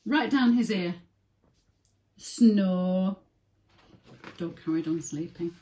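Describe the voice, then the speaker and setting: A woman's voice: on the low side, slow, dark tone. A woman reading a picture book aloud to young children with simple phrasing and dramatic emphasis. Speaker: early years story reader.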